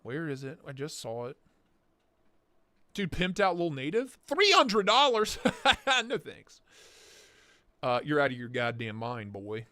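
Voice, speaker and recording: This is a young man talking casually on a livestream, his voice hoarse because he is losing it. He sits high and jumps all over in pitch, cursing and breaking into quick mock voices. The sound is dry, close and has no room echo. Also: wildly swooping pitch, sharp tone. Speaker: livestream host.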